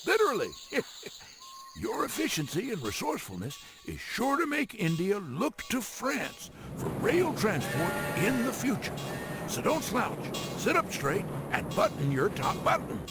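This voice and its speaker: A wry, folksy railroad narrator for a video game. His high-pitched male voice swings wildly up and down as he teases and dramatizes each briefing, with music clearly playing behind him. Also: huge pitch swings, unhurried, full character voice. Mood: sly, coaxing. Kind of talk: theatrical scripted mission briefing narration.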